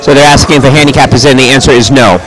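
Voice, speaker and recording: A man giving live play-by-play commentary on a bowling match in a bowling alley. He has a loud, projecting voice and makes chatty, joking asides between calls. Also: medium pitch, fairly even intonation, racing, low-quality recording.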